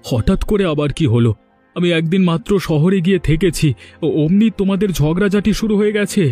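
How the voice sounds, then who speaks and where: Man acting an earnest, higher-voiced village son. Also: fairly quick, dark tone, soft background music. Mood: puzzled, protesting. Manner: earnest, pleading, reproachful. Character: earnest, protective married son of a village family. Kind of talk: melodramatic cartoon family comedy acting.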